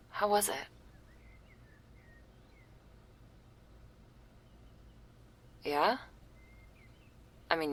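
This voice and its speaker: A woman playing a laid-back, teasing but caring stoner werewolf girl in an intimate ASMR roleplay, breathy and often near a whisper, with casual crude slang. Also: deep voice, fairly bright tone, heavily acted. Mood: awkward, teasing.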